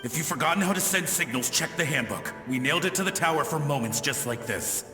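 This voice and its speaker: A man's voice: fairly high pitch, animated, quick pace, lightly acted. A man voicing the urgent, bossy ghost of a lighthouse keeper in a video game, exclaiming with long reverb. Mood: brisk. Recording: long echoing reverb, faint music.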